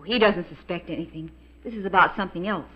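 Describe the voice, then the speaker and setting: An actress playing an anxious, yearning wealthy wife in the melodramatic style of a 1940s radio mystery. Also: female voice, fairly low pitch, fast talker, a little hiss or hum, natural voice. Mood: puzzled, uneasy. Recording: dull 1940s radio recording.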